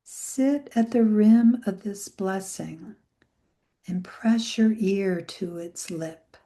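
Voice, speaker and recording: A woman leading a guided meditation in a gentle, soothing way, with soft phrase endings that trail into breath. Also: mid-range voice. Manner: gentle, soothing, unhurried with long pauses.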